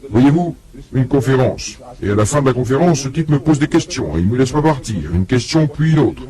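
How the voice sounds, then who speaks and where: A man's voice: mid-range voice, expressive, fairly quick, dark tone. A man delivering a religious lecture to an audience, telling a story and acting out both sides of a conversation, often putting questions to his listeners. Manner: addresses the audience directly with questions.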